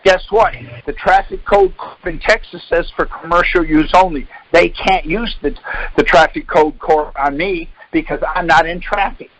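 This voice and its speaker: A man's voice: fairly high pitch, normal speed, fairly bright tone, rough recording. A man leading a call, explaining and debating in an insistent, corrective way with pointed questions. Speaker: call leader and instructor.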